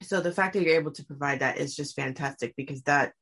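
A woman hosting a podcast chats with her guest in a casual, gushing way, throwing in slang and lots of fillers. Her voice sits slightly low for a woman. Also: fast talker, fairly bright tone, slightly rough. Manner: enthusiastic, slangy praise with many fillers. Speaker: podcast host. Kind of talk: casual interview chat.

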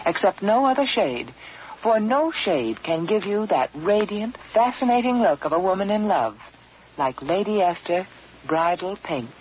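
A woman giving a polished, emphatic scripted sales pitch in the style of a 1940s radio advertisement. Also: very animated, a little slow. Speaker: commercial spokeswoman. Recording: muffled, noisy old radio recording.

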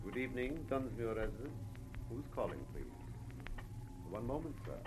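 An actor playing a haughty, formal butler to a rich household in an old-time radio drama. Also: male voice, mid-range voice, big pitch swings, normal speed, over loud music, lightly acted. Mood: formal deference. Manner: formal, deferential, snooty. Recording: very noisy vintage radio recording.

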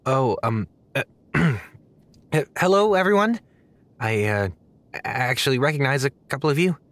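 A man's voice: medium pitch, animated, moderate pace, fairly bright tone, no echo, close to an everyday voice. A man playing a naive young zookeeper in a comedic audio drama, nervous and hesitant, stammering awkwardly.

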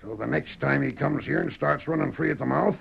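A crooked frontier sheriff in an old radio western. His voice is very gravelly and hoarse-edged, with a Western American drawl, and he blusters out threats on a muffled vintage recording. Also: on the high side, brisk, exaggerated voice. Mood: threatening. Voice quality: gravelly, raspy.

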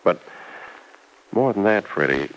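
A man's voice: fairly low pitch, lively intonation, measured pace. An actor playing a dying old farmer, a tender and resigned father, in an earnest old-time radio soap opera. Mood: tender, proud. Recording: noisy vintage radio drama recording.

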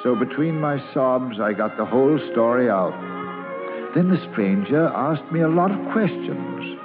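A man's voice: mid-range voice, animated, measured pace, close to an everyday voice. An actor playing a plain-spoken American man who fondly and wistfully narrates his boyhood in an old-time radio drama. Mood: wry, reminiscent. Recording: muffled old radio recording with music.

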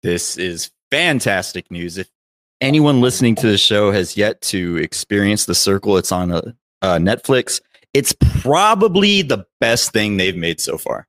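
A man's voice: on the low side, expressive, a little slow, a bit bright, no echo. A man chatting casually as a co-host on a podcast. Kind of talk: casual banter.

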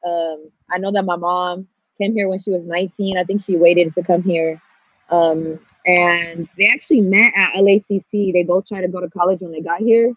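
A woman's voice: fairly low pitch, fairly even intonation, normal speed, no echo, muffled recording. A woman, candidly and reflectively telling her own story in casual talk. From Pasadena, California, United States. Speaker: podcast guest, storyteller and activist.

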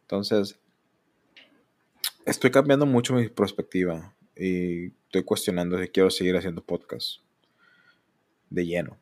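A Mexican man in his thirties speaks alone into a podcast mic in a fairly low voice. He talks candidly and rambles. Now and then he pitches up to mock other people. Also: fairly even intonation, measured pace, pauses often, darkish tone. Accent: Mexican Spanish. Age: 30s. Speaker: podcast host. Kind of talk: solo podcast monologue.

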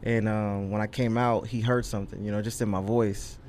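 A man's voice: on the low side, steady tone, a little fast, darkish tone, slight background noise. A young man from California, a guest on a podcast, telling his story in an earnest, rambling way full of ums and you knows. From California, USA. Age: young adult. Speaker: rapper, guest. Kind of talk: casual interview chat.

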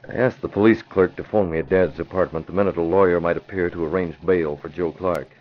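A man's voice: deep voice, steady tone, a little fast, lightly acted. An actor playing a determined young crusading man with a secret identity, giving decisive orders in 1940s radio drama style. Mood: grave, decisive. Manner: decisive, gives crisp orders. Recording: dull vintage radio recording.

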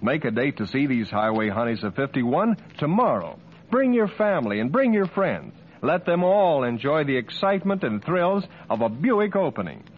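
Animated 1950s male radio announcer. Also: a little high. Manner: upbeat, polished salesmanship. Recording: muffled old radio recording with music.

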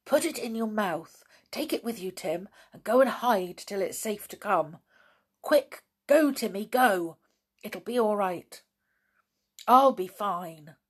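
Woman as a hushed, urgent young tomboy. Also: medium pitch, lively intonation, takes it slowly, husky, voice pushed up. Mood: urgent whispering. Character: brave, frightened young tomboy girl, loyal and secretive.

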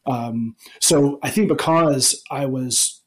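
A man in his forties from Alabama, the usual host, answering interview questions in a relaxed, rambling way with many restarts. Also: mid-range voice, takes it slowly. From Alabama, United States. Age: 40s. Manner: rambling, many ums and restarts, laughs easily. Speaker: podcast host being interviewed. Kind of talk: casual interview chat.

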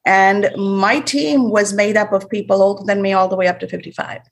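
A woman from Sri Lanka speaks as an interview guest, calm and formal, in a mid-pitched voice. She tells her story steadily and in measured sentences. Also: creaky. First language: Tamil or Sinhala, not English. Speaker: guest, business coach.

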